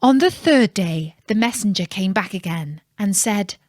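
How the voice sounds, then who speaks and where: Woman, fairytale narrator for children, unhurried, calm, measured, close dry recording. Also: mid-range voice, no room sound.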